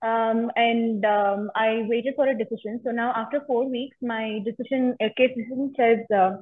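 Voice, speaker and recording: Young lady caller on a tinny phone line, higher voice, careful and polite, kinda hesitant. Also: fairly even intonation, moderate pace. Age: young adult. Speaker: caller seeking legal advice. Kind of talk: explaining her case on a call.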